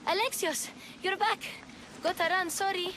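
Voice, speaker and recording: An actress playing a lively young girl in a hurry in a video game. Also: extremely high pitch, normal speed, background noise. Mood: cheerful, hurried.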